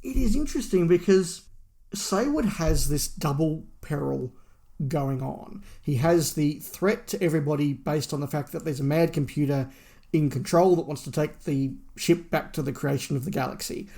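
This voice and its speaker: A man's voice: on the high side, moderate pace, gravelly, warm tone. A middle-aged man talking at length and with emphasis as a podcast co-host, in a casual review discussion.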